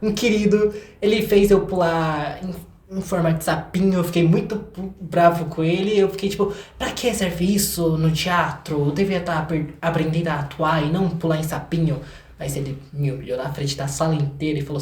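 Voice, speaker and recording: A man's voice: fairly high pitch, fairly even intonation, a little fast, a little mellow. An eighteen-year-old Brazilian man chatting casually as an interview guest, telling stories with slangy asides and acted-out quotes. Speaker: guest, singer-songwriter. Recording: echoey room.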